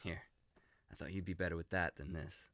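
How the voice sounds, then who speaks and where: A man playing an earnest, loyal young man in an animated adventure. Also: low voice, brisk. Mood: urgent, puzzled.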